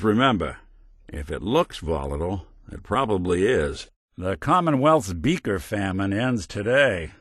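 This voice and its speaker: An actor playing a weary synth detective in a video game, delivering dry, sardonic quips with an old-time gumshoe cadence. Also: male voice, sits low, no echo, heavily acted. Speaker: companion character. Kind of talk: game voice lines.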